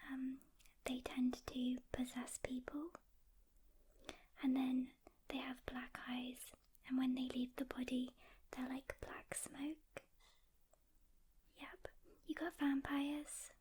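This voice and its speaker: Whispering, unhurried woman demon hunter, acted softly. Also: high voice. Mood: calm, explanatory. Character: calm, weary woman demon hunter mentoring a newcomer. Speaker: ASMR roleplay performer. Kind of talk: whispered soft-spoken ASMR roleplay.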